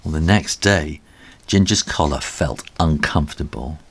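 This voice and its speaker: A man reading a children's story aloud as its author, in an animated storybook narration style with a husky voice. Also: rumbling low, a little slow.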